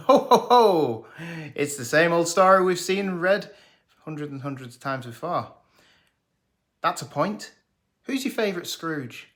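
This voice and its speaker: A man in his thirties talks through a film review with a bright, forceful voice. He jokes, teases and gets openly enthusiastic, and the delivery sounds scripted. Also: a little high. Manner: jokey, sarcastic, enthusiastic. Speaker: movie reviewer.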